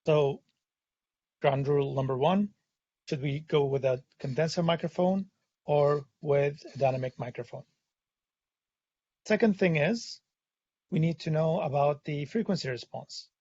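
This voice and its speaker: A hoarse man who has lost his voice presents a technical webinar, pausing often with "uh" fillers. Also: on the high side, mellow, lo-fi sound. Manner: hesitant, many "uh" fillers. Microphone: studio condenser microphone.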